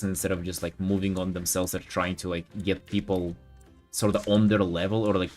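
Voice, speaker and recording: A low-voiced man, a native Russian speaker, chats casually on a livestream as he paints. His talk rambles and halts, full of slang and swearing. Faint music plays behind him. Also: a little mellow. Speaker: art streamer.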